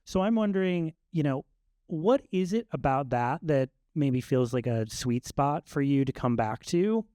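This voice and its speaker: A man moderating a live Q&A panel, asking friendly, measured questions. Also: mid-range voice, animated, warm tone, dry recording, studio quality. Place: live event stage.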